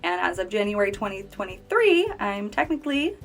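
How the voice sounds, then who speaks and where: A woman's voice: mid-range voice, very animated, a little fast, fairly bright tone, faint music behind. A woman in her late twenties, a cancer survivor, earnestly telling her personal story in a conversational way. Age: 20s. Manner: earnest, conversational, speaking straight to viewers. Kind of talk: personal testimonial.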